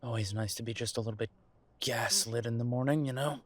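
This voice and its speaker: A man's voice: mid-range voice, steady tone, fairly quick, close to an everyday voice. A man playing a wounded, bitter werewolf son in an audio drama, guarded and weary with a sarcastic edge. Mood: hurt, weary. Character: bitter, wounded adult werewolf son confronting his parents. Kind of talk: audio roleplay drama acting.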